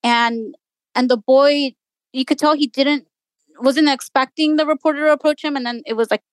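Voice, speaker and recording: A woman's voice: high-pitched, steady tone, moderate pace, dry recording, low-quality recording. A woman journalist whose first language is Spanish, speaking earnestly in a radio interview and thinking aloud with many restarts. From United States. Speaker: journalist guest.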